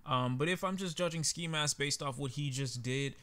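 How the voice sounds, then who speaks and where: Man with a smooth, clear voice. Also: on the high side, moderate pace, sharp tone. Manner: candid, conversational, frequent fillers. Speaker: music reviewer. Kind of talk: casual album reaction commentary.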